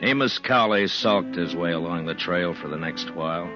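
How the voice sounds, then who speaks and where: An American man acting as a laconic, low-key frontier lawman in a 1950s radio western. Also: normal speed, close to an everyday voice. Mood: somber narrating. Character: laconic frontier lawman telling stories on the trail. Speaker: lead actor and narrator. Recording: muffled vintage radio recording with music.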